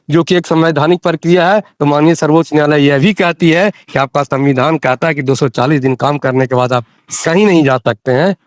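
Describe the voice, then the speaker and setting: A man's voice: fairly high pitch, dry recording, clean studio sound. A man explaining rules in a phone discussion, insistent and repeating phrases for emphasis. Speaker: interviewee explaining teacher rules. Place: recorded audio call.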